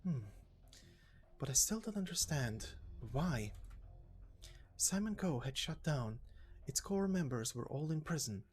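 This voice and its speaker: A man voicing a serious, reserved woman investigator in a game story, with measured, questioning delivery. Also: a little high, big pitch swings, darkish tone, voice pushed down. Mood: puzzled. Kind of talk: voiced game story reading.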